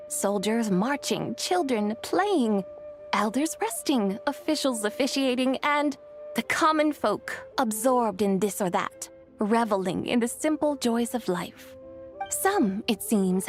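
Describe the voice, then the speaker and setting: An ancient immortal from a video game, acted by a woman, gracious and wistful in a fairly high voice. She speaks with poetic, serene eloquence over soft background music. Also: close to an everyday voice.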